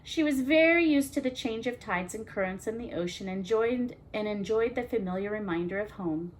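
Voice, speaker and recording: A woman's voice: mid-range voice, moderate pace, rough recording. Woman reading a children's story, faint noise. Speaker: children's storytime reader and host. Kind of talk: expressive storybook narration with casual asides.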